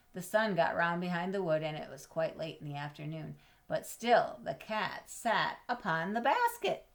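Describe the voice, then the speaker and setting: Low-pitched woman reading to children. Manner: expressive, dramatic pauses and drawn-out words; asks questions and explains words to listeners. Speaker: storybook reader. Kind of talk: children's read-aloud with asides to young listeners.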